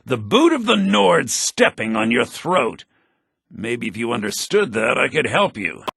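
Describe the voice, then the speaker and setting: An actor playing a bitter, vengeful rebel leader from a prison mine in a fantasy video game, raspy and menacing, declaiming his lines. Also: mid-range voice, big pitch swings, measured pace, dry recording, studio quality, full character voice. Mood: cold, challenging. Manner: menacing, declamatory, swinging to shouts.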